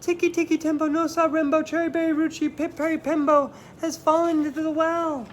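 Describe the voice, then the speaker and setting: A man's voice: very high voice, flat delivery, a little fast, slight background noise, voice pushed up. A man voicing a small, frantic boy pleading urgently in a storybook reading, calling out breathlessly. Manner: shouting long chant-like names breathlessly.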